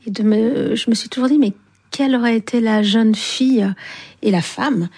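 A woman in her fifties from Algeria speaks as an interview guest, her voice warm and relaxed rather than bright. She talks in a reflective, unhurried way, stopping often on soft hesitations as she gathers her thoughts. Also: on the high side, slightly rough. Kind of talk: reflective personal storytelling in an interview.

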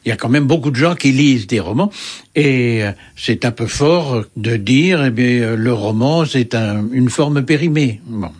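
A man's voice: mid-range voice, normal speed, darkish tone, breathy edge. An older man, an interviewed writer, answering in a musing, hesitant way with many fillers and restarts.

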